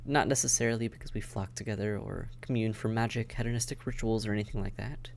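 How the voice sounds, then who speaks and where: A man's voice: lowish voice, fairly even intonation, fast talker, vocal fry, darkish tone. A man acting a friendly, dry-witted vampire caseworker in a conversational audio roleplay, wry, understated and matter-of-fact. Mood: wry, informative. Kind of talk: conversational audio roleplay, one side of a dialogue.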